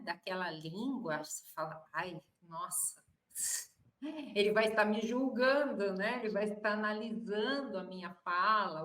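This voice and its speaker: A woman's voice: mid-range voice, bright, forceful tone. Brazilian woman teacher hosting a call interview; encouraging, mid-range voice in a roomy space. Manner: encouraging, many short backchannels. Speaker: Portuguese teacher, host. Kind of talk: casual interview chat.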